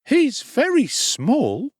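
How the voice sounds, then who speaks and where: A man voicing a sharp, stingy older woman who speaks pettishly and with scorn. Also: extremely high pitch, huge pitch swings, extremely slow, husky, no room sound, studio quality, pitched above the performer's own voice, exaggerated voice.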